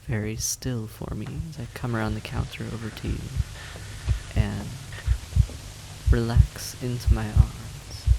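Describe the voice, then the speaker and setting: A man playing a suave, predatory vampire in an audio roleplay, speaking in deliberate, theatrical phrases with teasing condescension. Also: mid-range voice, vocal fry, noisy background. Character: suave, predatory male vampire toying with his prey. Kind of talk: scripted roleplay audio drama monologue.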